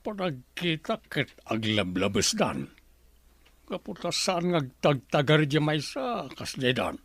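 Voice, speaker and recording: A man's voice: a little high, very animated, measured pace, a bit bright, dry recording, exaggerated voice. A man with an Ilocano accent playing a grumbling older father in a radio-style drama.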